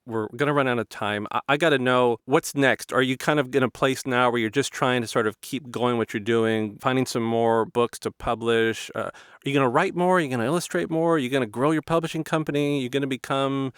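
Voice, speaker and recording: Clear, close-sounding male interview host. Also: mid-range voice, brisk, a bit bright, dry recording. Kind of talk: conversational interview show hosting.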